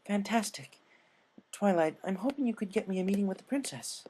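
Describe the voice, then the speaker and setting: A man's voice: sits high, expressive, fairly quick, darkish tone, a little hiss or hum, pitched above the performer's own voice, rough recording. A man voicing a refined, eager female unicorn pony with a polite, earnest manner in a story reading.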